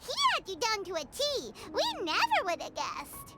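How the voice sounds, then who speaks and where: A woman voicing a small, excitable fairy-like girl companion in a video game, with a chirpy, childlike, chatty manner. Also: very high-pitched, moderate pace, full character voice. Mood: puzzled. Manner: chirpy, refers to self in third person. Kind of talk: scripted video game character acting. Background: music.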